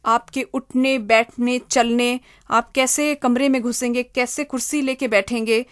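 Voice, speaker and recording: Higher-pitched woman explaining step by step. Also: little pitch movement, unhurried. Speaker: interviewed career expert giving job advice. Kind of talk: interview answers, explanatory advice.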